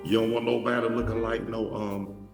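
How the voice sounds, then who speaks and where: A man's voice: little pitch movement, normal speed, gravelly, music in the background. A man from Atlanta, hosting a show and telling stories in a rambling, profane way with lots of restarts. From Atlanta, Georgia. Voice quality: deep voice. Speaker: show host. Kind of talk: casual storytelling monologue. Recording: echoey room, mic at a distance.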